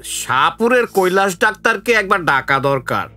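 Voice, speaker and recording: A man's voice: sits high, big pitch swings, normal speed, music in the background. An actor playing a tense, emphatic village man in an audio drama. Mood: worried, protesting. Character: village men in tense dispute, earnest or taunting. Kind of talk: Bengali audio drama acting.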